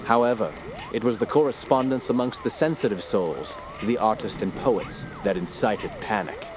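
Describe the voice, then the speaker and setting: A man as a grave storyteller, low voice, solemn, ominous, horror radio drama narration, faint background ambience. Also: normal speed, noisy background, natural voice. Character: grave male narrator recounting his uncle's dark discoveries.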